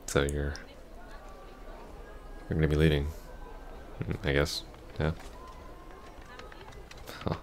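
A man playing an awkward, apologetic young ex-boyfriend in an ASMR roleplay, halting and stammering. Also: very deep voice, monotone, a little hiss or hum. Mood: awkward, wistful. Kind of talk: ASMR roleplay, soft intimate acting.

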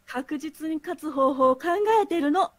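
A woman's voice: high-pitched, unhurried, no room sound, clean studio sound. A woman playing a proud, competitive younger sister villain in an amateur voice drama rehearsal. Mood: defiant, proud.

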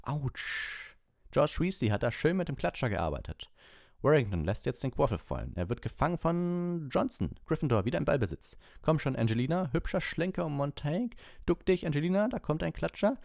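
A man voicing an excitable, partisan schoolboy sports commentator in a storybook, with breathless, exclamatory play-by-play. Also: on the high side, fairly quick, vocal fry, no room sound, clean studio sound, pitched above the performer's own voice. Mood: excited.

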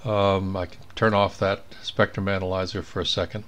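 A man's voice: deep voice, little pitch movement, normal speed, a bit bright. A man giving a casual screen tutorial as an amateur radio operator, thinking aloud as he works. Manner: thinking aloud while working. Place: indoors at a computer.

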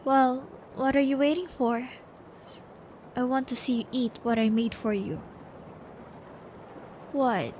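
A woman acting a sadistic young female captor in an ASMR audio roleplay, taunting and gloating with drawn-out, cruel menace. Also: high-pitched, darkish tone, a little hiss or hum. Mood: cold taunting.

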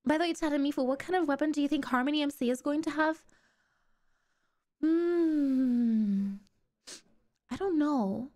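A woman's voice: high-pitched, measured pace, pauses often, a little mellow. A young woman streamer giving casual reaction commentary, bubbly, gasping and squealing at what she watches.

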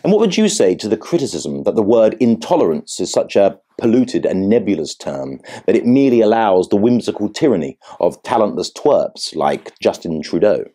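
A man's voice: lowish voice, very animated, normal speed, hardly any pauses, warm tone, breathy edge. A man playing a pompous, sardonic interviewer in a satirical mock interview, with florid, needling delivery. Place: furnished sitting room indoors. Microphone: lapel.

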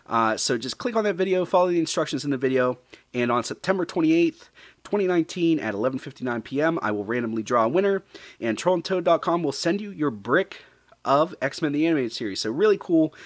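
A man in his thirties hosting an unboxing video with casual, upbeat commentary full of fillers. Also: medium pitch.

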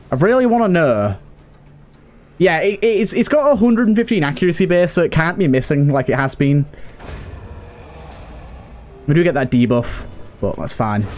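A man with a slightly high voice hosts a let's play, commenting casually as he plays. He thinks aloud and talks to the characters in a chatty way. Game music plays faintly behind him. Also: a little mellow. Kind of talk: casual live gameplay commentary.

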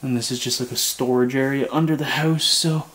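A young man casually narrating his walk through an abandoned house, chatty and relaxed. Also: mid-range voice, brisk. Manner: relaxed, chatty, frequent "like" and laughs. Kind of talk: casual walkthrough vlog. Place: inside an empty abandoned house.

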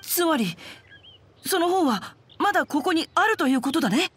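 A woman's voice: sits high, very animated, moderate pace, a bit bright, slight background noise. A woman voicing a courteous, righteous, bookish teenage boy in an anime game, composed and polite. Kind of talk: Japanese anime game dubbing.

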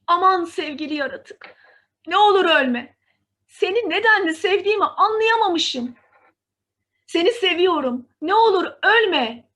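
A gentle young fairy-tale heroine, voiced by a woman reading a storybook. Her voice is high and swings widely in pitch. She speaks earnestly and emphatically, full of feeling. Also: animated, a little slow, exaggerated voice. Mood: anguished.

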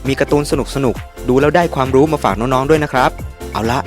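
A man's voice: medium pitch, fast. A man presenting a children's television show, speaking to young viewers over music. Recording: music behind the voice.

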